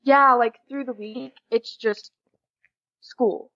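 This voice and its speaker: A woman's voice: on the high side, steady tone, slow, pushed and bright, dry recording. Young American woman, dry muffled recording. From USA. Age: teenager. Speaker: podcast guest, exchange student. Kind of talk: casual interview chat.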